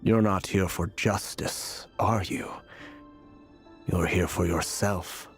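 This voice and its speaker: An actor playing a weary, fatalistic blinded prophet in a video game, grave, deliberate and eloquent in his delivery. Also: low voice, steady tone, creaky, full character voice. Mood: knowing, accusing. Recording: game dialogue with music behind.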